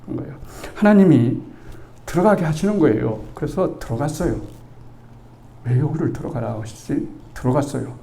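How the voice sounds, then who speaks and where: A man's voice: on the high side, moderate pace, vocal fry. A man in his sixties, a pastor, preaching a sermon as a chatty personal story, acting out the dialogue and asking the congregation for agreement. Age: 60s. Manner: conversational, asks the congregation for agreement; animated storytelling, acts out the dialogue.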